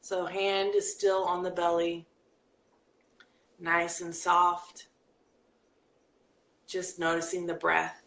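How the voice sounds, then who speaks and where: A woman with a bright, forceful voice coaches her viewers live on camera. She talks in a lively way and reads comments aloud, then slows into a soft guided breathing exercise. Her voice rings a little in an echoey room. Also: fairly low pitch. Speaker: life coach hosting a live video.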